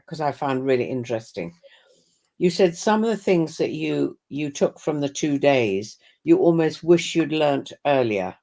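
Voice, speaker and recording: A woman's voice: sits low, a little mellow. A woman hosting a webinar interview, curious and asking follow-up questions over a video call. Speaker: host.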